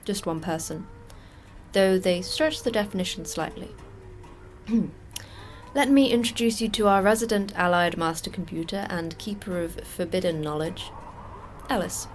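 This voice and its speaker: A woman's voice: on the low side, normal speed, slight background noise, lightly acted. A woman playing a jaded, terse station manager in an audio drama, dry and matter-of-fact. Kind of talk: audio fiction podcast acting.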